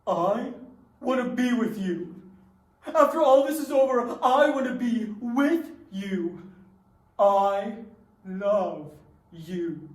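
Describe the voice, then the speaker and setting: A woman's voice: fairly high pitch, animated, takes it slowly, long pauses, darkish tone. A woman on stage acting an earnest, wounded, lovelorn suitor from a dating show in readers theatre. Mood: pleading. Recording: echoey stage room.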